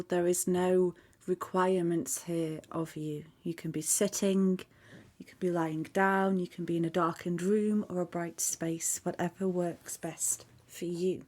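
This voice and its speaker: A woman leading a guided meditation in a soft, calm voice that often drops to a near-whisper. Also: lowish voice, unhurried. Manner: soft, calm, hushed, half-whispered at times.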